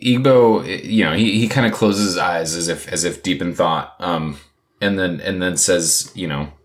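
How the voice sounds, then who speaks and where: A man's voice: sits low, moderate pace. A man narrating as game master of a tabletop game, talking hesitantly with many restarts.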